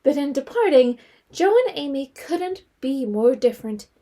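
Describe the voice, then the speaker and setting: An actress narrating a period novel in an audio drama as a wry, composed storyteller. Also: female voice, sits high, lively intonation, moderate pace, mellow.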